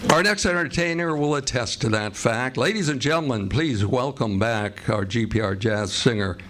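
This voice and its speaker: A man's voice: mid-range voice, moderate pace, fairly bright tone, slight background noise. A man with an American accent reading scripted announcements and narration in old-time radio style on a live stage.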